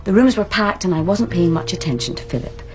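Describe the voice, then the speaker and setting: An actress playing a casual, defensive young university student in a British radio drama, with a British accent and an offhand, colloquial delivery. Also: female voice, lowish voice, lively intonation, fast talker, darkish tone, soft background music, natural voice. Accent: British English.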